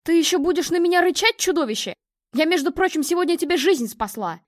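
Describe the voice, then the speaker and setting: A woman's voice: fairly high pitch, animated, brisk, warm tone, no echo, clean studio sound. A woman voicing a stubborn young girl who pleads and protests emphatically in a story. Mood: indignant.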